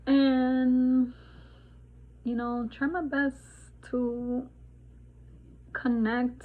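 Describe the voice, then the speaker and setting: A woman's voice: sits high, flat delivery, drawn-out words. A woman in her forties from the Dominican Republic, chatting casually in a spiritual vlog, rambling with many fillers and laughs. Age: 40s. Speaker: vlogger. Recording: tinny phone-like sound.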